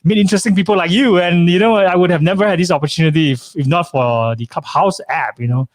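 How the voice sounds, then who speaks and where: A man's voice: a little high, fast, dry recording. A man hosting a casual interview chat, talking in a chatty way with many fillers and asking questions. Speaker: event host.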